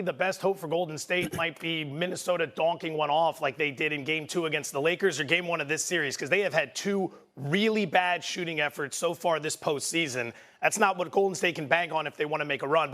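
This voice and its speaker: A man's voice: fairly high pitch, a little fast, few pauses, fairly bright tone. A man moderating a sports debate, setting up segments and handing off to panelists. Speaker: show moderator.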